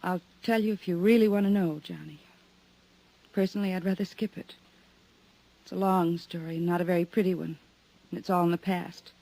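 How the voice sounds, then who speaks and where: An actress playing a weary, guarded woman stranded abroad in a 1950s radio drama, speaking softly and pleadingly. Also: fairly low pitch, steady tone, fairly quick, creaky, pauses often, rough recording. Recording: old radio broadcast recording.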